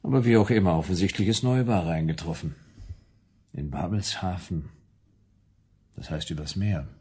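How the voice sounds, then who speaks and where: An actor playing a wry, laconic private detective, narrating in a dry hard-boiled manner for a German radio play. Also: male voice, sits low, normal speed, dark tone, slightly rough, dry recording. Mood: wry, matter-of-fact.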